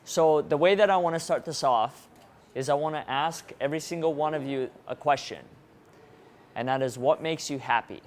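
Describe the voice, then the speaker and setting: A man's voice: fairly high pitch, normal speed, a little hiss or hum. Russian-born man giving a motivational talk. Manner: engaging, asks the audience questions. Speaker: motivational speaker. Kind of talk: interactive presentation to a live audience. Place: room with a live audience.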